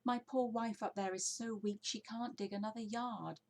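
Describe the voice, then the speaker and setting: A woman voicing an excitable, fretful, desperate male badger in a children's storybook. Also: fairly high pitch, normal speed, voice pushed up. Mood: despairing. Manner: exclamatory, fretful.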